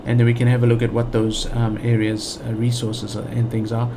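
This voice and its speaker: Rambling South African gamer, noisy recording. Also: male voice, mid-range voice, monotone, brisk, warm tone. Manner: rambling, thinks aloud with many fillers and restarts. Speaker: gaming channel host. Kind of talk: casual let's play commentary.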